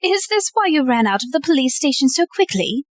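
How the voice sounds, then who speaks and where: A woman's voice: high voice, big pitch swings, brisk, no echo. An actress playing a wry, genteel society lady in an audio play, with an arch, theatrical delivery. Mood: poised, protective.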